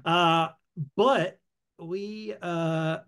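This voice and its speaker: A man in his thirties, an actor from northern Canada, chatting as an interview guest over a video call from a room at home, lively, repeating words and laughing easily. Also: sits high, drawn-out words, smooth. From northern Saskatchewan, Canada. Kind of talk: casual interview chat. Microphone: headset with desk mic, video call.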